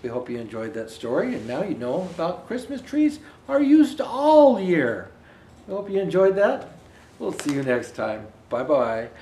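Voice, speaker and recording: Older man, high voice, children's storybook reading, expressive deliberate phrasing, roomy echo. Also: recorded from a distance, slight background noise. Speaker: Santa Claus storyteller. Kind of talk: read-aloud children's picture book. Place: indoor room with Christmas decor.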